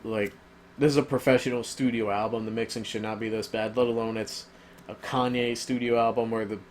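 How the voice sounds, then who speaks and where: Male reviewer, mid-range voice, casual and rambling with lots of fillers, voice going hoarse, slight background hiss. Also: mid-range voice, flat delivery, fairly quick. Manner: rambling, filler-heavy, opinionated. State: losing his voice. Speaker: album reviewer.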